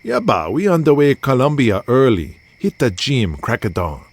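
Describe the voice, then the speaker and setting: A man's voice: mid-range voice, wildly swooping pitch, moderate pace, slight background noise, voice pushed up. A man voicing a cocky, easygoing Hawaiian star wrestler with a Hawaiian accent, breezy and boastful, in pidgin grammar. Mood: charming.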